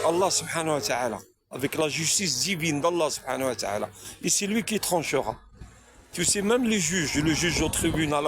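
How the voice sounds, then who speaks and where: A man from France speaks in a slightly high voice, delivering an unscripted religious monologue straight to his viewers. He preaches, circles back on his points and keeps asking rhetorical questions.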